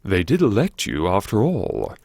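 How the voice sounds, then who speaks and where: A man's voice: sits low, wildly swooping pitch, normal speed, gravelly, a little mellow, slightly rough, dry recording, clean studio sound, exaggerated voice. A man playing a wry, erudite, self-mocking university head who muses to himself in old-fashioned, droll phrases, as audiobook narration. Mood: weary, sardonic. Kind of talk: audiobook narration as inner monologue.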